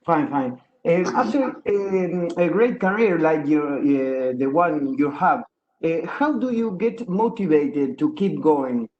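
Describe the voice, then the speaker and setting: A man from Argentina with an Argentine Spanish accent, a reporter asking questions in halting English. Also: a little high, expressive, highs cut off. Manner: halting, searching for English words.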